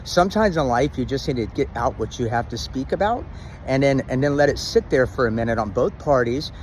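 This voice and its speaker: A middle-aged man with a loud, dominant voice gives relationship advice to camera in a loose, rambling way, with plenty of ums and restarts. His pitch sits right in the middle for a man. He records outdoors, with faint car and street noise behind him. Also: lively intonation, a little fast, low-quality recording. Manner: says he has a loud, dominant voice. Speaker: vlogger giving relationship advice. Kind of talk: casual talk to camera.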